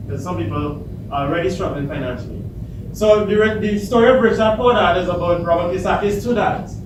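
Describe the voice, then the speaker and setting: A Trinidadian man with a big, loud voice, speaking to students at a workshop without a microphone and asking the audience questions. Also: a little high. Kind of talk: motivational talk to students. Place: large echoey hall, no microphone. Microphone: far camera. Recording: echoey hall, distant camera, audible noise.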